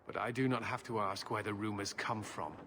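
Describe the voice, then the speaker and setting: An actor playing a grave, conflicted knight officer of a holy order, speaking formally in a fantasy game. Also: male voice, on the low side, fairly even intonation, fairly quick, fairly bright tone, lightly acted. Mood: solemn, troubled. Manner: formal, solemn. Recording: faint background noise.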